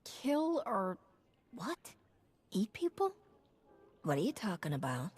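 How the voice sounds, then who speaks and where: An actress playing a confident, wry smuggler captain in a video game cutscene, with music underneath. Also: female voice, mid-range voice, huge pitch swings, slow pace, a little mellow, breathy edge, full character voice. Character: bold young woman smuggler captain. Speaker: starship captain.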